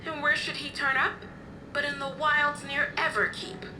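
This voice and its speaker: An actress playing a kindly, motherly guardian in a video game cutscene, explaining things in a gentle storytelling way. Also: sits high, normal speed, noisy background. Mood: earnest, explaining. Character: kindly motherly woman, caring guardian of an orphaned boy.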